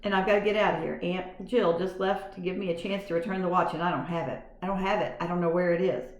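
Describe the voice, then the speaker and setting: A woman's voice: on the low side, steady tone, quick pace, close to an everyday voice. A woman voicing a young boy who is wary and stubborn, blunt and easily startled. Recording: roomy echo.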